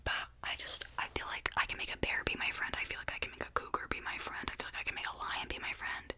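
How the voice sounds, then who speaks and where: A woman's voice: fast, pushed and bright. A young woman from Canada, whispering to a close mic as she rambles casually with many fillers and restarts, in the style of ASMR. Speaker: ASMR creator.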